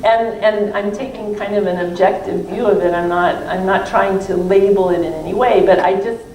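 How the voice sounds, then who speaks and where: A woman speaks as an academic answering questions about her book, in a conversational, discursive way with many self-qualifications. Her voice sits at a middling pitch. The sound is roomy and distant, with faint noise. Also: moderate pace, darkish tone. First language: not French (non-native French speaker). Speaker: professor and author presenting her book. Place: library event room, recorded from a distance.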